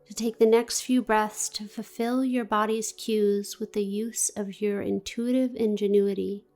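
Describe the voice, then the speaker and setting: A woman guiding a meditation in a gentle, deliberate, soothing manner with long pauses. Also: mid-range voice. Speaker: yoga nidra meditation guide. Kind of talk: guided meditation, scripted narration.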